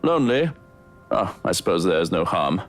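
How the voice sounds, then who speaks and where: A man playing a gentleman's confidant in a visual novel, measured and questioning. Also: sits low, big pitch swings. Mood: relenting. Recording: music behind the voice.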